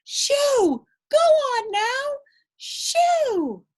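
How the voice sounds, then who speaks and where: A woman voicing outraged people from a children's storybook, shouting and shooing. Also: very high-pitched, big pitch swings, very slow, pitched above the performer's own voice, heavily acted. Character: outraged crowd of people shouting a dog away. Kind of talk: children's storybook read-aloud.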